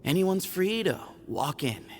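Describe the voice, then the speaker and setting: An actor playing a calm, self-assured young temple man in a video game, easygoing and matter-of-fact. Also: sits high, a little slow, big-room sound, a little hiss or hum, heavily acted. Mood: relaxed.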